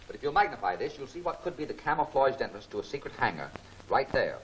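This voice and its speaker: A suave, self-effacing gentleman sleuth of a 1940s film serial. His speech is brisk with a lively rise and fall, heard a little far from the mic on a faintly noisy old soundtrack. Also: mid-range voice. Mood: composed, courteous. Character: smooth, self-effacing gentleman amateur detective.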